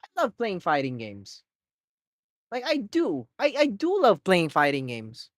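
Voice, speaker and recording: A man live streaming, chatting casually to his viewers in an excitable, rambling way with lots of restarts. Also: high voice, unhurried, no echo, clean studio sound. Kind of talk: casual chat to a live audience.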